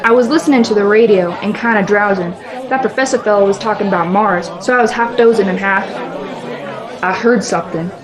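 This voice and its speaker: Radio drama farmer eyewitness, played by a woman, colloquial and meandering, mid-pitched for a woman, crowd behind. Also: fairly quick, close to an everyday voice. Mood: folksy, rambling. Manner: rustic, colloquial, rambling. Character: folksy, rambling male farmer, unruffled eyewitness. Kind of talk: radio play acting. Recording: clearly audible crowd noise around voice.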